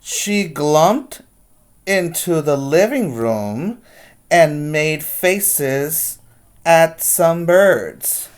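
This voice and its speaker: A teacher reads a children's story aloud at a slow, deliberate pace. The story words get strong emphasis, and simple explanatory asides are spoken to young listeners. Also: mid-range voice, expressive.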